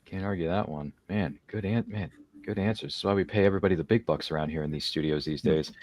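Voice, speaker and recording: A man's voice: deep voice, fast, a little mellow. A man hosting a casual roundtable, chatty and jokey, drifting into slangy asides. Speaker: host. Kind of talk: casual roundtable hosting, reading viewer comments.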